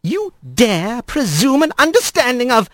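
Male reader doing squirrel priestess, stern, solemn, indignant, raised pitch, close dry storybook sound. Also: big pitch swings, measured pace, a bit bright, no echo, full character voice.

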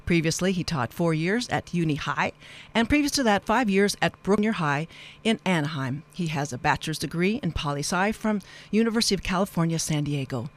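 A woman hosting a live radio interview show, with a wordy, halting delivery that wanders into asides. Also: lowish voice, expressive.

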